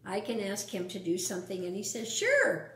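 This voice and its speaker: A woman's voice: medium pitch, steady tone, brisk, darkish tone, echoey room. A woman reading a picture book aloud to young children, adding casual explanations and questions. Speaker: storytime reader.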